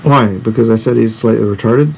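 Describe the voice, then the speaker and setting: Man, 40s, creaky mid-range voice, offhand livestream chatter with slangy asides, faint room hum behind. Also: mid-range voice, a little fast, a little mellow. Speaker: comic artist hosting a live drawing stream. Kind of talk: casual livestream chat, reading and answering viewer comments. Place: indoors at a drawing desk.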